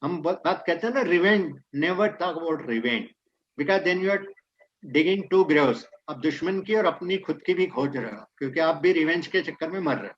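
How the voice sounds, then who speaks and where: A man's voice: a little high, expressive, rapid-fire, lo-fi sound. A man whose first language is Hindi, speaking Indian English and mixing in Hindi, giving a motivational talk on leadership that states maxims and then explains them. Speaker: guest speaker.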